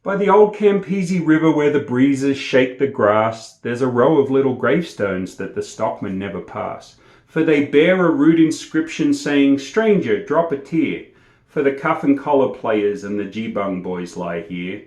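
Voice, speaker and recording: A man reciting and presenting poetry in an energetic, theatrical storytelling manner. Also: medium pitch, measured pace. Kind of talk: expressive poetry recitation with casual introduction. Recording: echoey room.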